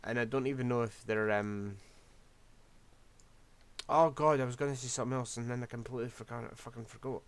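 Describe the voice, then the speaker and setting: A man's voice: medium pitch, fairly even intonation, a little fast, long pauses, clean tone. A man, rambling through casual gameplay commentary while losing his voice. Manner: rambling, scatterbrained, self-deprecating. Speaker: game streamer.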